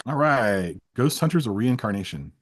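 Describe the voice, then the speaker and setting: A man with a husky edge and a lively, swooping delivery. He plays interviewer, firing off quick either-or choices and teasing his guest as he goes. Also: mid-range voice. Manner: playful, teasing. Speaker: interviewer, host. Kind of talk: rapid either-or quiz in casual chat.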